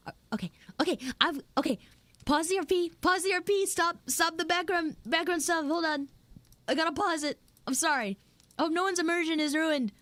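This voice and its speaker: A woman live streamer chatting casually with her audience in a flustered, apologetic, halting way. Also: very high-pitched, fairly bright tone, no echo.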